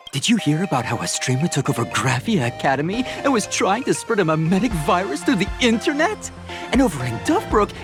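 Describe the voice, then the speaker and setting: A woman's voice: medium pitch, natural voice. Actress doing a sugary preachy cult recruiter lady in a game, voice swooping all over, music under it. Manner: gushing, syrupy, preachy. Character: sweet-talking female cult recruiter, theatrical and pious. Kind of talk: game dialogue voice acting. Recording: studio voice with game music behind.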